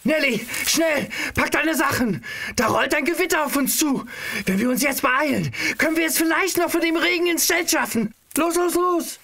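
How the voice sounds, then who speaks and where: A man's voice: extremely high pitch, moderate pace, gravelly, sharp tone, heavily acted. An actor playing a hungry, cheeky adventurous boy with a teasing, defensive manner in an audio drama. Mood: urgent.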